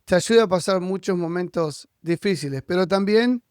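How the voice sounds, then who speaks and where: A man's voice: sits high, normal speed, darkish tone, clear, resonant tone, no echo, studio quality. A man hosting a casual podcast interview. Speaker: podcast host interviewing a guest.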